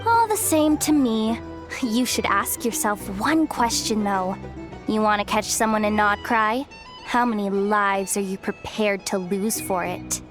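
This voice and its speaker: An actress playing a blunt, world-weary young female guide in a fantasy game, curt, streetwise and deadpan. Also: mid-range voice, unhurried, over music, lightly acted. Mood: cool, warning. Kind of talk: game voice acting.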